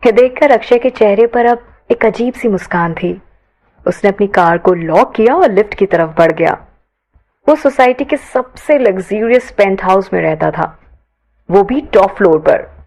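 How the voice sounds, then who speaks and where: A woman's voice: mid-range voice, lively intonation, moderate pace, darkish tone. A woman narrating a scripted romance audio story expressively, mixing English words into her Hindi. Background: background music.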